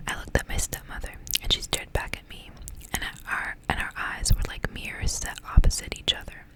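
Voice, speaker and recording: A woman reading in a soft, breathy ASMR whisper, hushed and unhurried, as a storyteller. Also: rough recording. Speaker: ASMR reader and channel host.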